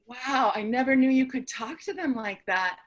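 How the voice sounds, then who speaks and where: A woman playing an excitable, tender, kooky last survivor of the apocalypse in a staged reading, effusive and dramatic. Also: sits high, normal speed, lightly acted. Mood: tender, hesitant. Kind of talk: theatrical staged reading, monologue and dialogue.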